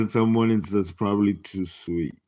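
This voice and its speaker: A man in his late fifties giving a casual tarot reading, chatty and animated, with laughing asides and dropped whispers. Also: on the low side, takes it slowly. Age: 50s. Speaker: tarot reader.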